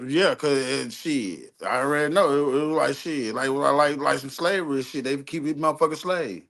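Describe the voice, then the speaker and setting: Man, medium pitch, casual storytelling, street slang, profane, repetitive, seeking agreement. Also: normal speed, gravelly, a bit bright.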